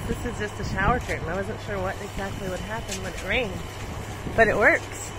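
A woman's voice: mid-range voice, a little fast, noisy background. A young woman hosting a sailing DIY vlog, chatty and upbeat, often laughing. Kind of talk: casual DIY vlog narration. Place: inside a sailboat cabin. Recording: music playing behind her voice.

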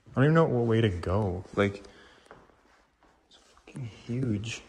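A man's voice: on the low side, lively intonation, a little slow, darkish tone. Casual man exploring, noisy handheld recording. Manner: informal, awed, mildly sweary. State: getting over a cold with a lingering cough. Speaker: urban exploration vlogger. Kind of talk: casual walkthrough commentary. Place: inside empty rooms of a large abandoned house.

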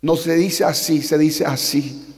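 A man in his forties from Paraguay with a Paraguayan Spanish accent, Guarani as his first language, preaching a passionate sermon into a handheld mic, climbing to shouts and slowing down for emphasis. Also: fairly high pitch, fairly even intonation. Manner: impassioned, rising to shouts, slows for emphasis. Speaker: pastor. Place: large church hall on a stage. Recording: long reverb of a large hall.

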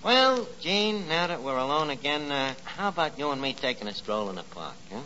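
An actor playing a pretentious, dim, lovestruck tavern manager in 1940s radio comedy, mangling highfalutin phrases. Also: male voice, very animated, brisk, sharp tone. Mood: wooing. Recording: muffled 1940s radio recording with hiss.

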